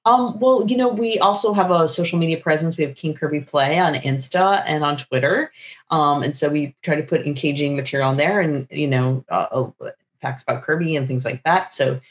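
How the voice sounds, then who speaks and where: A middle-aged woman chatting casually as an interview guest, thinking aloud in a rambling, animated way. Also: low voice, a little fast. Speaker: guest playwright. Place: book-lined room at home, indoors. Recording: roomy, echoey video call.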